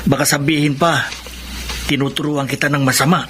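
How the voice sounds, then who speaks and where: A man acts an ailing young Filipino friend in a radio drama, gentle and self-effacing. The voice is bright and slightly high, with faint noise underneath. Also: level tone, a little fast, lightly acted. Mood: reassuring, weak.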